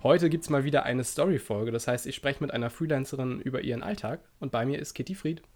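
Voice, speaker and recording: A man's voice: mid-range voice, fast, faint music behind. A man hosting a podcast, asking long, rambling questions in a casual, friendly interview. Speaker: podcast host.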